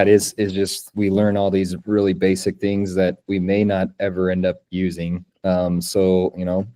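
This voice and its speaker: A man's voice: deep voice, dark tone. A man in his thirties hosting an interview podcast, friendly and enthusiastic, with many fillers. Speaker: podcast host.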